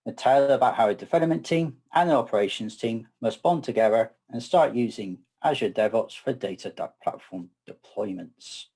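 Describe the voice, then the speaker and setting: A middle-aged man with a West Country English accent, co-presenting a technical session in a measured, slightly wry way. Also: medium pitch, a little mellow, breathy edge. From southwest England. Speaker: conference co-presenter. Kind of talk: scripted tech presentation.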